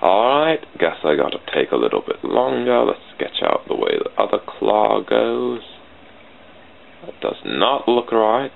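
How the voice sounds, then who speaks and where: A man's voice: lowish voice, sing-song, unhurried, creaky. A man with a British accent giving rambling, self-deprecating commentary to himself while drawing on a computer, recorded at a home desk on a cheap computer mic. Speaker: channel host drawing sprites. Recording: tinny phone-like lo-fi mic with faint hiss.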